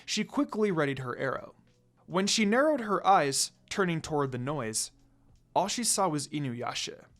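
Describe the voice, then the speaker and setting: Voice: mid-range voice, big pitch swings, normal speed, a bit bright, faint music behind. A narrator reading a fan fiction story aloud in a dramatic storytelling style.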